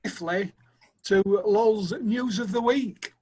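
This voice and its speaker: A man's voice: high-pitched, slow, crowd noise. A man chairing a casual video call meeting, making announcements with many hesitations. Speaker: group organiser chairing a meeting. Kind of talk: casual chat.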